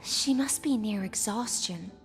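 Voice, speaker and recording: An actress playing a young, earnest, devoted sea siren in a fantasy game, emotive and theatrical. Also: female voice, medium pitch, measured pace, faint music behind, natural voice. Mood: worried, urgent. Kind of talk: theatrical scripted game voice acting.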